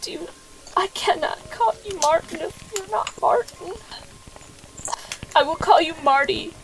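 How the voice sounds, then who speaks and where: A woman acts out an anxious widow in an audio drama. Her voice is high and creaky, and she halts and repeats words as she picks formal, old-fashioned phrases. A faint noise sits behind her. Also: measured pace, exaggerated voice. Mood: cautious. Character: anxious, devout widow, overwhelmed, speaking to her dead husband's likeness.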